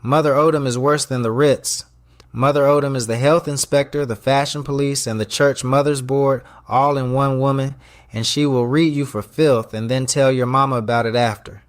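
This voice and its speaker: A man's voice: mid-range voice, fairly even intonation, normal speed, dry recording, clean studio sound, voice pushed up, natural voice. Man acting a fussy, bossy restaurant manager. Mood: bossy, exasperated. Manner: commanding, snappy, exacting. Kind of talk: audio story character dialogue.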